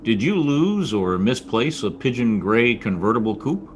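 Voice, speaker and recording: An actor playing a hard-boiled, wisecracking private detective in a 1940s-style radio drama, with a wry, clipped delivery. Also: male voice, mid-range voice, sing-song, a little slow, a little hiss or hum. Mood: flippant, tough.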